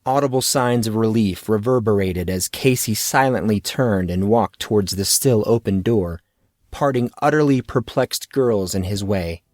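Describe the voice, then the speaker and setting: Low-voiced man narrating a story, dry recording. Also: normal speed, darkish tone, no echo. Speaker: audiobook narrator. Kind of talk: scripted fantasy story narration.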